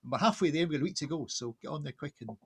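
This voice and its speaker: A man's voice: fairly high pitch, expressive, rapid-fire, husky. A man co-hosting an online event on a video call, casual and unscripted, with a hesitant, rambling delivery. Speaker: co-host, art director.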